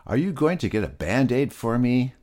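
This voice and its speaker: Man voicing a young pig boy, whiny, high-pitched, lively storybook voice. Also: normal speed, close to an everyday voice. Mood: shouting. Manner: whiny, pleading. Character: young male pig, reckless and hurt.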